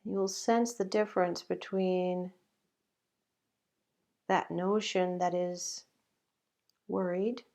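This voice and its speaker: Slow, creaky-voiced woman guiding meditation. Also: on the low side, steady tone, warm tone. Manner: slow, halting, with long pauses. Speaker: meditation guide. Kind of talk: guided meditation.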